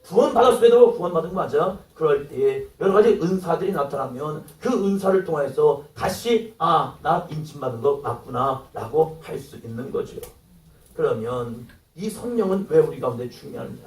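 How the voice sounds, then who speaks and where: High, lively preaching man in echoey hall. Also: moderate pace, recorded from a distance. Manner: acts out quoted dialogue, rising to exclamations. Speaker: pastor. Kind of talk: sermon.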